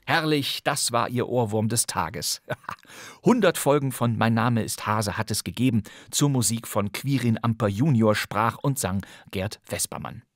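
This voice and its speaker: A middle-aged man hosting a podcast with scripted, playful narration, wry and given to theatrical emphasis and pauses. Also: medium pitch, no echo, studio quality. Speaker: podcast host. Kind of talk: scripted, playful presentation on radio drama history.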